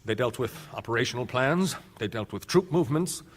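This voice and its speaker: A man plays a defense official on the witness stand in a radio drama. His mid-pitched voice is formal and guarded, with clipped, precise answers. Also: animated, brisk, natural voice. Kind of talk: radio play acting.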